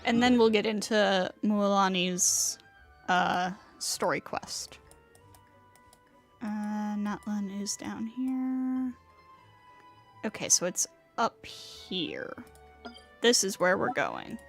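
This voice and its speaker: A woman giving casual, unhurried commentary on a gaming stream, thinking aloud as she goes. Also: mid-range voice, lively intonation. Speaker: livestreamer. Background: game music.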